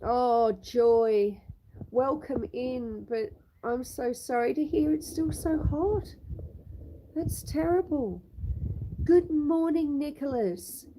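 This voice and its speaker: A woman's voice: high-pitched, slow, background noise. A woman hosting a live stream outdoors on her homestead, chatting to viewers by name. She is still a bit snuffly with a cough from a long illness. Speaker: homestead livestream host. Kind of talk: casual live-stream chat. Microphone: phone on a tripod.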